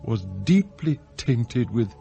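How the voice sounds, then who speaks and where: An actor playing a formal, measured butler in a radio drama, smooth, scheming and bitterly envious. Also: male voice, mid-range voice, sing-song, warm tone, faint music behind. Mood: anguished longing. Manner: formal, measured, deferential to employers. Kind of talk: radio drama acting with confiding monologue.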